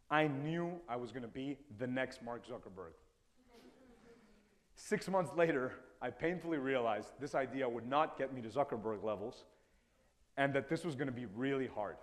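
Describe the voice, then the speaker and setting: A man in his twenties from Ecuador, a native Spanish speaker, telling personal stories in an earnest, reflective talk on stage. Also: medium pitch. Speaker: TEDx speaker. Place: stage in a lecture hall. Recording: reverberant hall sound.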